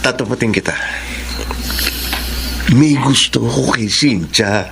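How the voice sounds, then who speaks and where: A man's voice: mid-range voice, slow, vocal fry, background noise. An actor playing a generous company boss who is smitten with his new employee, in a classic radio drama. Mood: earnest. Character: smooth-talking male boss, generous and lovestruck.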